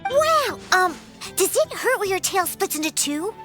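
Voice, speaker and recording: A woman voicing a small, excitable girl sidekick in a video game, bubbly and full of questions. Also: extremely high pitch, a little slow, soft background music, full character voice. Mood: eager curiosity. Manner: bubbly, gushing, inquisitive.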